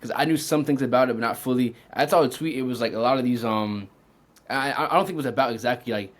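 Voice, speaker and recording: A man in casual podcast talk, hedging his points with many fillers. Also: mid-range voice. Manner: quick, hedging, filler-heavy. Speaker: podcast co-host. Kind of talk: casual film discussion.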